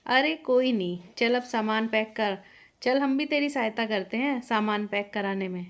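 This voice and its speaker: A woman voicing a bossy but caring eldest sister-in-law in a moral story, brisk and directing. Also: fairly high pitch, natural voice. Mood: consoling.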